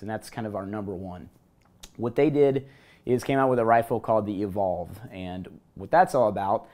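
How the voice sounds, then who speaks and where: Guy in his 30s, voice gone hoarse, chatty gear vlog host, lots of energy. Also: on the low side. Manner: energetic, talks with his hands. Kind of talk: casual gear review vlog. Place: hotel balcony and hotel room.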